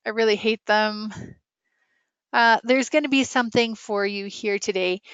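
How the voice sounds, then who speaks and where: A woman's voice: on the high side, fairly bright tone, clear, resonant tone, no room sound. A woman teaching a casual, interactive online writing lesson, chatty and full of questions for her students. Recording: dull, lo-fi online class audio.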